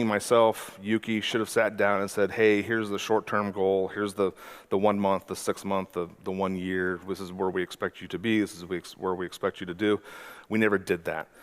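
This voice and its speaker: A man in his forties giving a conference talk as a mentor, conversational and often correcting himself mid-sentence. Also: fairly low pitch. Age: 40s. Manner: conversational, self-correcting presenter with frequent "uh".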